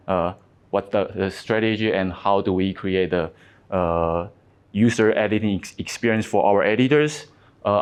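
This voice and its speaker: Man, Taiwanese accent, Mandarin native speaker, conference talk, halting with fillers, lowish voice. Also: a little mellow. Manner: halting, frequent fillers and restarts. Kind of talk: conference presentation.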